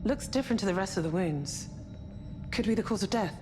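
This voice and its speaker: An actress playing an uneasy spaceship crew member in a sci-fi horror game, speaking tersely. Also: female voice, medium pitch, expressive, fairly quick, music in the background, close to an everyday voice. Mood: grim. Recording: long cavernous reverb.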